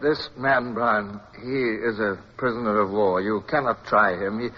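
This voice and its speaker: A man's voice: fairly low pitch, steady tone, moderate pace, husky. An actor playing a resolute British naval officer in a radio drama, who commands and narrates his own adventure. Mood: firm protest. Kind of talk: radio drama acting and first-person narration. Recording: dull, noisy old radio recording.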